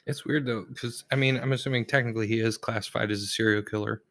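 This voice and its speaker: A man co-hosting a casual true-crime podcast, musing in a relaxed way and reading the show's outro. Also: on the low side, fast. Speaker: podcast co-host.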